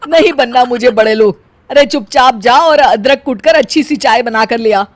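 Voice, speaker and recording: A woman's voice: a little high, moderate pace, no echo, natural voice. A woman voicing a suspicious, scolding older mother-in-law in a theatrical cartoon style. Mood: disgusted. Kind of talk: Hindi cartoon story acting.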